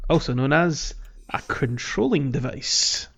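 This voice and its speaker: A man's voice: mid-range voice, expressive, measured pace, a bit bright. A man hosting a podcast, chatting casually about games in a jokey way, prone to chuckling. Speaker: podcast host. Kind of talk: casual game discussion chat. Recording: slightly muffled, music underneath. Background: background music.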